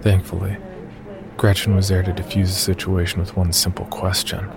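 Deep, gravelly male horror narrator. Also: completely flat delivery, moderate pace, a crowd in the background. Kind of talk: scripted first-person horror story narration.

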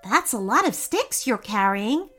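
A woman's voice: fairly high pitch, sing-song, a little slow, fairly bright tone, faint music behind. A woman voicing a kind-hearted, curious human girl with an eager, helpful manner in a storybook. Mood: cheerful.

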